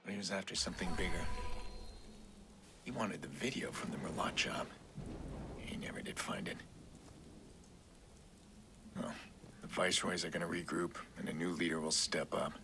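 An actor playing a terse, guarded vigilante hacker with a gravelly voice in a video game. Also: male voice, steady tone, normal speed, pauses often, sharp tone, a little hiss or hum. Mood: brooding. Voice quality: low gravelly voice. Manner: terse, understated. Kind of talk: video game cinematic acting.

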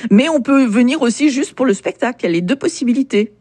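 A woman's voice: fairly high pitch, lively intonation, fast talker, dark tone, slightly rough. A woman radio host conducting an interview with friendly, upbeat questions.

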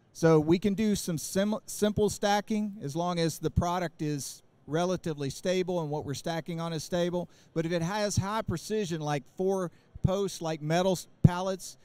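A man in his forties with a fairly high, smooth, clear voice presents a product at a trade show booth. He explains technical details steadily into a handheld mic, with frequent fillers and restarts. The sound is close and dry. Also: warm tone, dry recording. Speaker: company presenter. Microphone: handheld microphone.

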